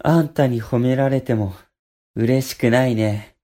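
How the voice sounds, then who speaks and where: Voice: medium pitch, a little slow, warm tone, natural voice. An actor playing a proud, loyal young boy sorcerer in a period audio drama, cornered and muttering defiantly. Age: child figure.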